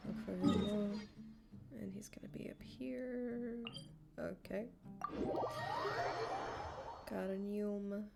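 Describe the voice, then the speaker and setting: A woman's voice: medium pitch, fairly even intonation, takes it slowly, gravelly, pauses often. A woman livestreaming a game, chatting casually in an offhand, playful way. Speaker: live game streamer. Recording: game music behind the voice.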